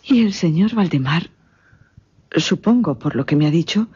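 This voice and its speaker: A woman's voice: fairly low pitch, lively intonation, normal speed, mellow, breathy edge. An actress playing a polite, impressionable lady in a radio drama, speaking breathily in an earnest, formal way. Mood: earnest, impressed. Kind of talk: dramatic radio play dialogue. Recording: dull radio drama recording.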